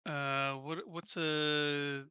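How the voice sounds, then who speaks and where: A man playing in a tabletop game, talking casually and haltingly, often trailing off. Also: a little high, little pitch movement, clean tone, no room sound, lo-fi sound. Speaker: player.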